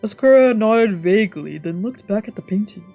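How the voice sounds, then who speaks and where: Mellow, husky woman reading a story aloud. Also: mid-range voice, lively intonation, brisk, lo-fi sound. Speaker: VTuber streamer reading a visual novel aloud. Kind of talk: scripted narration with casual asides. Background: faint music.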